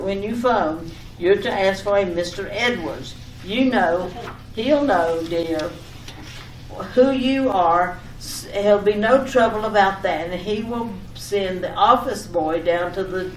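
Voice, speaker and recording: An echoey, distant recording with faint noise carries an actress as an insistent wife from an old radio comedy. She rambles breezily through her explanations at a middle pitch, coaxing with mild exasperation. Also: lightly acted. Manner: chattering, coaxing, over-explaining. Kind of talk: old-time radio domestic comedy acting.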